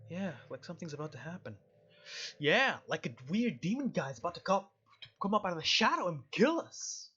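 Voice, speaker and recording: A man plays through a game out loud with lively, swinging intonation. He is casual and sarcastic, dropping joking asides. The mic is a little dull and music plays underneath. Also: high voice, a little fast, husky. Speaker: video game streamer. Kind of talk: casual let's-play commentary mixed with reading dialogue aloud.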